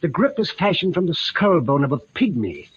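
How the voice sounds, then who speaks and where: A pompous, wealthy yacht skipper from a 1940s radio play. His voice is on the high side, husky and very animated, with fussy, genial formality. The recording is muffled and vintage. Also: moderate pace. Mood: smug, genial. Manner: pompous, formal, clipped old-fashioned phrasing.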